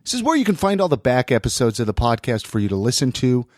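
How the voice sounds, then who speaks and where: Man, American accent, podcast host, animated intonation, congested head-cold sound, casual, dry close sound. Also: medium pitch, fast, dry recording. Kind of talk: casual podcast chat and sponsor reads.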